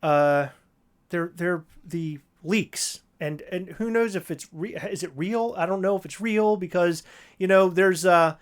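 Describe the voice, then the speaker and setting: A podcast host, slightly hoarse, chatting on a livestream and ranting loosely with plenty of fillers and restarts. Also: mid-range voice, a little slow. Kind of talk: casual livestream chat.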